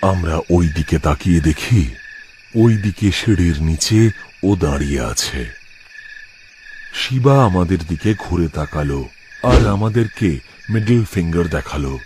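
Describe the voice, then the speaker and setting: A man's voice: low voice, lively intonation, measured pace, long pauses, darkish tone, slight background noise. A man narrating a scripted first-person horror story for a cartoon. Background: music.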